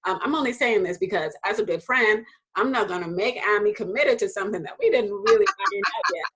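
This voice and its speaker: A woman hosting a casual faith conversation. She talks in a lively way, laughs often, and jumps into high voices when quoting people. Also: quick pace, heavy background noise. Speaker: host.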